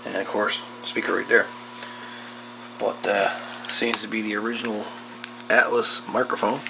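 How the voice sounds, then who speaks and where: A man giving a casual, folksy, hands-on repair walkthrough, talking in short, unhurried pieces. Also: lowish voice, flat delivery, gravelly. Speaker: host of a radio repair channel. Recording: loud background noise with clicks.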